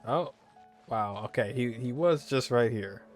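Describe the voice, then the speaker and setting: Mid-pitched man doing casual game commentary. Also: unhurried. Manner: informal, slangy. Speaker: gaming streamer. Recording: game music behind the voice. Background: video game music.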